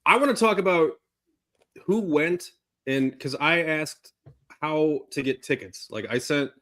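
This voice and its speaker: A man's voice: fairly high pitch, measured pace, fairly bright tone. A man in his forties co-hosting a casual panel chat, full of questions and pushback. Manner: quizzical, pushes back with questions.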